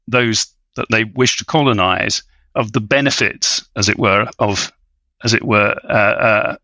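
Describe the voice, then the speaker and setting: A man's voice: on the low side, sharp tone, dry recording. A man speaking as an expert podcast guest in an academic discussion, with hesitant, scholarly, wordy phrasing.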